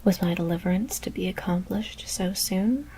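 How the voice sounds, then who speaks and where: A woman whispering a scary story in ASMR style, reading in a breathy, deliberate, literary manner. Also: fairly low pitch, mellow, slight background noise. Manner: measured, deliberate literary narration.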